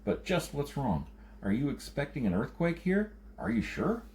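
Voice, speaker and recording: Guy reading anxious clever outsider man in a scifi story, earnest and pressing, soft mellow tone. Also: mid-range voice, very animated, moderate pace, slight background noise, pitched above the performer's own voice. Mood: puzzled.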